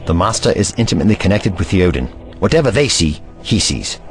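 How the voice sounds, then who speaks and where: A man's voice: deep voice, big pitch swings, music in the background. A man playing a pompous eccentric scientist. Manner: pompous, lecturing, brisk. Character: haughty eccentric male time-traveling scientist, protective. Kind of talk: audio drama acting.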